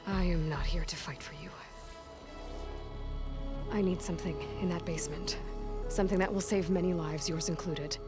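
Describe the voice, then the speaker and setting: A woman acts a determined young warrior heroine for a dramatic game scene. She speaks in a fairly low register, terse and challenging, with principled resolve. Music plays clearly behind her. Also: fairly even intonation, moderate pace, long pauses, lightly acted. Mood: earnest, firm. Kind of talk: dramatic video game cutscene acting.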